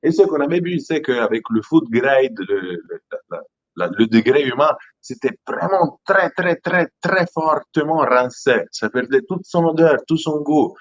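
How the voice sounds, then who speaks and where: A man from Italy speaking French with an Italian accent, halting and repeating words as he searches for them in a casual live chat. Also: on the high side, expressive, lo-fi sound. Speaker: aquarium product company founder.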